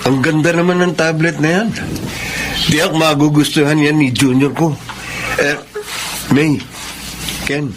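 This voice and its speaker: A man's voice: a little high, fairly even intonation, a little slow, long pauses, background noise, natural voice. A man playing a wheedling, self-justifying older brother in a radio drama, cajoling and defensive.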